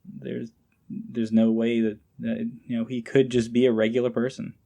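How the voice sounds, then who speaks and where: A man with a medium-pitched voice talks as a podcast guest. His delivery is casual and conversational, like informal chat between colleagues. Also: flat delivery, normal speed, gravelly, dark tone.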